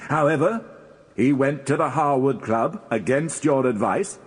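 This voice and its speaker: Husky British prosecutor, booming hall. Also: male voice, unhurried. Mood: insistent, formal. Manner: formal, insistent, leading questions. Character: formidable, pressing male Crown prosecutor, forceful and relentless. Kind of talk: British radio courtroom drama acting. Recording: long echo of a large hall.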